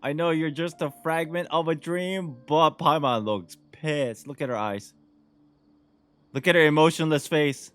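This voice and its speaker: A man's voice: a little high, a little slow, a bit bright, clear, resonant tone. A man giving casual, slangy playthrough commentary as a game streamer, with muttered offhand reactions.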